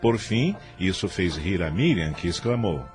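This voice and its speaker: Brazilian male storyteller, lowish voice, lively intonation, dramatic scripted narration over faint music. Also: a little slow, husky.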